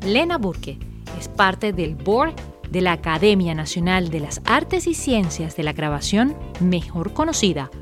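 A woman's voice: fairly low pitch, a little slow, faint music behind. A woman presenting and interviewing on a radio-style show, reading a polished introduction and then asking questions. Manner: polished, expressive presenter delivery.

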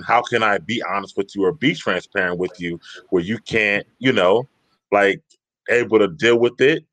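A man with a fairly low voice muses thoughtfully on a live talk show and admits he doesn't have the answer. His sound is dry and close. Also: a bit bright, no echo. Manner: reflective, unsure. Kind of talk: casual live show discussion.

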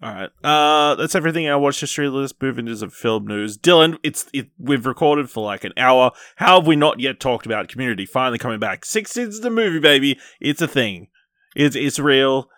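A man's voice: medium pitch, lively intonation, a little fast, fairly bright tone, dry recording, studio quality. A man co-hosting a podcast, chatting casually through film and TV news. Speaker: podcast co-host.

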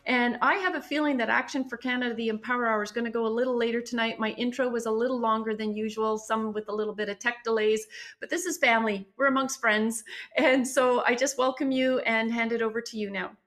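Woman host, fairly high voice, chatty, handing over to the guest. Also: fairly even intonation, fast talker, fairly bright tone. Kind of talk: hosting an online meeting.

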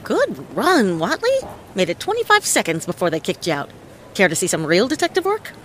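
An actress playing a cool, wry female detective, deadpan and wisecracking in a noir audio play. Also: medium pitch, a little fast, noisy background. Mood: cocky. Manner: deadpan, wisecracking, self-assured.

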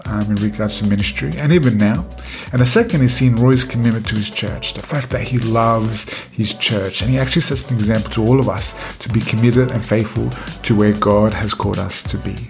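A man hosting a casual podcast interview, relaxed and jokey, laughing easily. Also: medium pitch, echoey room. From Central America. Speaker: podcast host.

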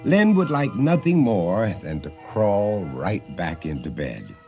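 A man's voice: fairly low pitch, sing-song, slow, warm tone, faint music behind. A man hosting and narrating a radio drama in a dramatic, scripted style. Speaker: host and narrator.

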